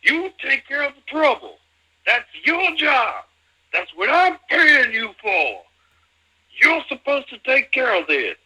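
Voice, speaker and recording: Man voicing older showman boss, high bright voice, swooping intonation, emphatic, cartoon-dub drama. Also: huge pitch swings, slow pace, breathy edge, full character voice, poor audio. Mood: irritated, bossy. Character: older male race promoter, blustering boss who frets in private. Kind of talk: amateur fan dub acting.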